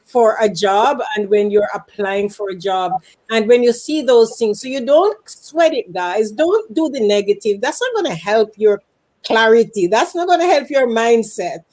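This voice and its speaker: A woman's voice: on the high side, lively intonation, moderate pace, a little mellow. A woman hosting a live career talk show, speaking emphatically and repeating phrases for effect. English is not her first language. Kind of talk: live interview chat. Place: Canada.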